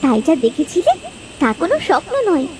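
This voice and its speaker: A woman voicing a gentle, solemn fairy who advises and admonishes in a Bangla cartoon. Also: high voice, big pitch swings, brisk, slight background noise. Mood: gentle, reassuring. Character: gentle, solemn fairy granting gifts to the honest.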